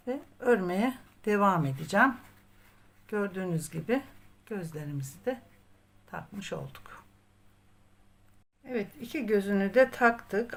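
Slow-paced woman giving craft instructions. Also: mid-range voice. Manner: patient, methodical instruction, counting aloud. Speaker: craft tutorial host. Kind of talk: step-by-step crochet tutorial narration.

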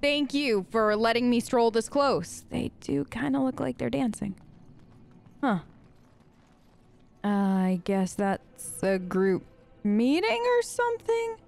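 A woman's voice: a little high, sing-song, takes it slowly, creaky, darkish tone. A woman hosting a let's play, improvising in-character replies and musing commentary in a conversational way.

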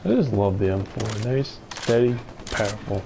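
A man's voice: fairly low pitch, moderate pace, creaky, noisy background. A man chatting casually with a friend during a co-op game, mostly laid-back short replies. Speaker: co-op gaming partner. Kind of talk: casual gaming chat.

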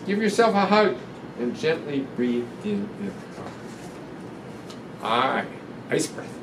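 Slow-paced older man reading, roomy and distant. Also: on the high side. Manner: gentle, deliberate, instructive, pausing between phrases. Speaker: guest doctor reading a picture book aloud. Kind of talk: children's storytime read-aloud with guided breathing instructions. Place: indoor room in front of a sequined curtain. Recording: roomy, distant pickup with noticeable ventilation hum.

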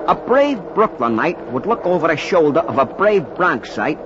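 An actor playing a folksy storyteller narrating a baseball ballad, rhythmically, in a 1940s radio play. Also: male voice, fairly high pitch, very animated, moderate pace. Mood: jaunty, suspenseful. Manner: folksy, rhythmic, dropped endings. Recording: muffled, hissy 1940s radio recording.

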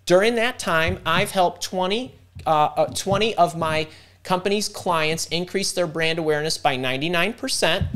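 A middle-aged man hosting a live career workshop over a video call, talking fast and interrupting himself as he thinks aloud. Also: fairly high pitch, fairly bright tone. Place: home room indoors. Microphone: video call webcam.

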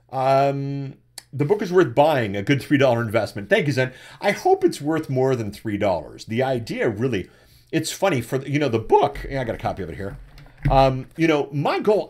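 Brief: deep-voiced man in his forties, animated live webcast host, swings widely in pitch and stumbles over repeated words. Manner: animated, repeats words when starting a thought. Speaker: podcasting coach and webcast host. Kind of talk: live webcast answering chat questions. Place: indoors at a desk, webcam framing. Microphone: desktop USB microphone.

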